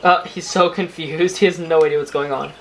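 A man's voice: fairly high pitch, fairly even intonation, fairly quick. Young American man vlogging, noisy background. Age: young adult. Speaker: aquarium hobby vlogger. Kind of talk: casual vlog chat and narration to camera. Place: mostly indoors in a home room with fish tanks.

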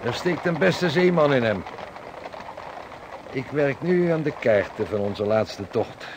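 A man plays a hearty seasoned sea captain in a radio drama. His middle-pitched voice is jovial and hospitable, with formal, old-fashioned turns of phrase. Faint ambient noise sits behind him. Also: expressive, unhurried, lightly acted. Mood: genial. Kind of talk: Dutch radio drama acting.

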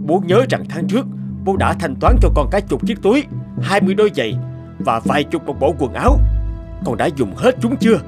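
A man's voice: on the high side, big pitch swings, a little fast. A man voicing an older company boss who sternly lectures his spendthrift daughter in a cartoon, with music behind. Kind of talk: animated cartoon dubbing. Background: background music.

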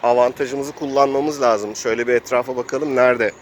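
A man's voice: mid-range voice, fairly even intonation, a little fast. Man narrating an adventure game over street noise. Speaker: host and game master. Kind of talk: improvised role-play game narration. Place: outdoors in an urban area. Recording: outdoor urban noise, passing vehicles.

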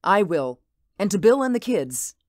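A woman's voice: mid-range voice, very animated, moderate pace, a little mellow, dry recording, clean studio sound, close to an everyday voice. A woman playing an indulgent, mildly uneasy housewife and mother in an audio drama, polite and a little hesitant. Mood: pleasant.

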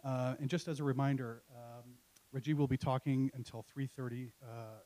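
A middle-aged man hosting an academic event, reading an introduction from notes into a handheld mic with hesitant pauses. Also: medium pitch, fairly even intonation, warm tone. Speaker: event host, university Spanish professor. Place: university classroom. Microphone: handheld microphone.

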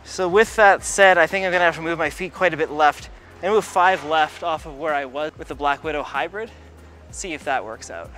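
A man's voice: fairly high pitch, a little fast, music in the background. A man in his thirties gives a casual bowling ball review in a large bowling alley, muttering play-by-play between throws. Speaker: pro shop ball reviewer.

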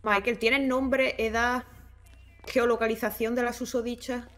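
Woman playing a highish-voiced, persistent reporter. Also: flat delivery, unhurried, a bit bright, soft background music. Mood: probing. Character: dry, persistent female tabloid reporter. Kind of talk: improvised online roleplay acting.

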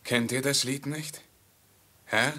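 Ein Schauspieler spielt in einem Hörspiel der 1950er einen treuen, besorgten Diener in Bettlergestalt mit belegter Stimme. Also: male voice, medium pitch, steady tone, takes it slowly, pushed and bright, slight background noise. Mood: respectful. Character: loyal servant in beggar's guise, anxious, practical. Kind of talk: 1950s German radio play acting. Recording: 1950s mono radio drama.

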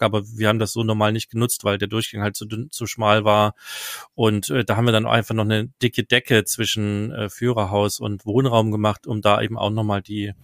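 Man, 30s, gravelly voice, flat delivery, dry studio sound, casual tips. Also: on the low side, no room sound. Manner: relaxed, many fillers, practical tips. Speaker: podcast co-host. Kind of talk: casual advice chat. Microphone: headset and studio mic.

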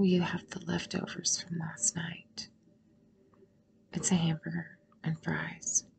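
A woman guiding a meditation in a soothing, unhurried way, with long pauses and breathy phrases that trail toward a whisper. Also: on the low side, a little mellow, slight background noise. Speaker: guided meditation host. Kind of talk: guided meditation narration.

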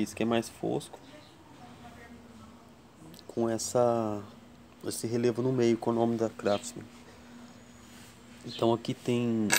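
A man from Brazil hosting a casual tool vlog at his workshop bench, slowly counting wrench sizes and chatting to viewers. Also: mid-range voice, warm tone, a little hiss or hum. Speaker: tool channel host. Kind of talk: casual show-and-tell vlog.

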